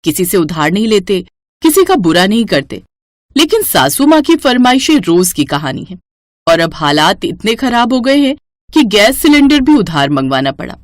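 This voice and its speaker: A woman's voice: medium pitch, big pitch swings, moderate pace. A woman storyteller giving scripted narration of a moral tale.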